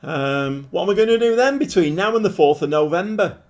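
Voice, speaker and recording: A man with a croaky, hoarse voice, chatting casually as he streams a game and thinks aloud. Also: high-pitched, animated, a little fast, a little mellow. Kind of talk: casual live-stream commentary. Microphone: desk microphone.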